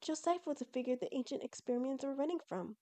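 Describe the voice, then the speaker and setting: Lady doing an eager young fox girl from a storybook, high soft-toned voice, super earnest and excitable. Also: quick pace, close to an everyday voice. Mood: excited.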